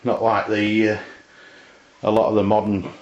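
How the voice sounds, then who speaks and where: Low-voiced man, dull lo-fi sound. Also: completely flat delivery, a little mellow. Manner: halting, hesitant delivery. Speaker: product reviewer. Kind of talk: casual unscripted review. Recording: dull lo-fi amateur video sound.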